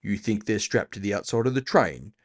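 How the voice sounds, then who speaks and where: A man's voice: lowish voice, expressive, a little fast. A man voicing a big, cool-headed orc bounty hunter, blunt and dryly cocky, in a fantasy audio drama. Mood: sceptical. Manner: blunt, dry, confident.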